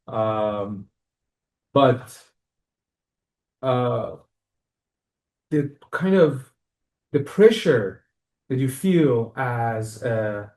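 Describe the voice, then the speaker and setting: A man in his thirties from Iran speaks with a Persian accent to a live audience. He talks in a friendly, searching way, pausing on fillers and restarting phrases as he thinks aloud. The voice sits at an ordinary middle pitch. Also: darkish tone. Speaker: guest lecturer. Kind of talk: live academic lecture to an audience. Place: lecture hall.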